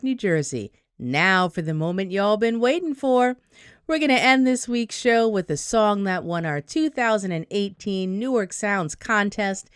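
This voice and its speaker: A woman's voice: mid-range voice, clear, resonant tone, no echo, studio quality, lightly acted. A woman playing an upbeat local radio host, with polished, scripted DJ announcing in an audio drama.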